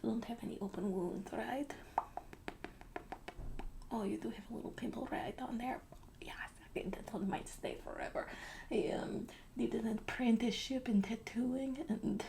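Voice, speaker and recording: A woman playing a pretentious, eccentric female artist in a whispered roleplay, with a put-on foreign accent that slips, speaking in a haughty, deadpan way. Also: a little high, normal speed, vocal fry. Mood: haughty, whispery.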